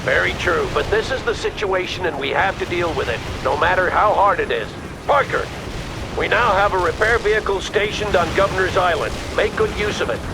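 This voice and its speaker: An actor playing a stern, resolute army colonel in a military video game, speaking in clipped, authoritative orders. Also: male voice, high voice, brisk, background noise. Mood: urgent, commanding. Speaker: military commander.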